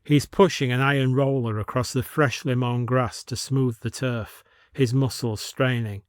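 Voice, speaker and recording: Measured male storyteller, close dry sound. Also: medium pitch, steady tone, dry recording. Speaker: narrator. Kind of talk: scripted audio story narration.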